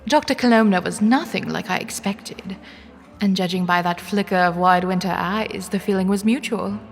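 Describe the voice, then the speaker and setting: A woman's voice: mid-range voice, moderate pace, close to an everyday voice. A woman playing an anxious, sardonic young woman, narrating and acting in a first-person romance audio drama. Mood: wry, brooding. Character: anxious, sardonic young woman, broke and flustered, defiant underneath.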